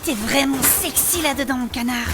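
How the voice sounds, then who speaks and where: A woman's voice: sits high, normal speed, natural voice. A woman playing a coquettish, easily offended armoured adventurer in a French-style comic fantasy audio drama, with hesitant, colloquial, cooing speech. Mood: flirtatious. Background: music.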